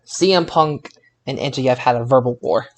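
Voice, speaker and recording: A man co-hosting a casual wrestling podcast, excitable and stammering, often repeating his phrases. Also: mid-range voice, normal speed. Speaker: podcast co-host.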